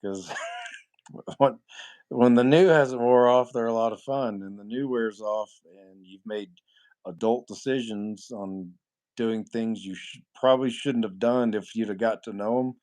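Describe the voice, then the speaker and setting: A man in his forties with a nasal voice and an ordinary middle pitch talks in a loose, rambling way to his livestream chat. He is blunt and swears freely. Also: a little slow, darkish tone. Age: 40s. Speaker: livestream host answering chat. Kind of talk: casual live chat.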